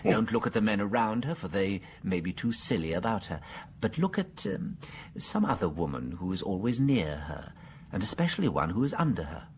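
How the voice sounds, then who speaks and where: An actor playing a gentle, shrewd British priest in a radio mystery drama, speaking mildly with polite hesitations. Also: male voice, lowish voice, sing-song, normal speed, slight background noise. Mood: quietly insistent. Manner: mild, hesitant, stammering politely.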